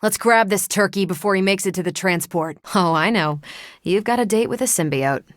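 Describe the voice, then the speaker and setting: A woman with an American accent playing a confident, wry superheroine and former Air Force pilot in a video game, dry, self-assured and quick to wisecrack. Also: mid-range voice, no echo, lightly acted. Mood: commanding.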